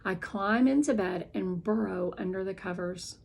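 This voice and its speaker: A woman narrating a children's novel as an expressive, deliberate read-aloud. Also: medium pitch, creaky, husky.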